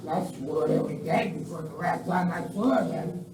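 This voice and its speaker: A woman's voice: on the low side, normal speed, mellow, close to an everyday voice. A woman playing a bumbling, flustered henchman in a Western radio play. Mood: flustered, defensive. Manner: stammering, flustered. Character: bumbling, nervous henchman outlaw, flustered by the boss. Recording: echoey hall, distant mic, faint noise.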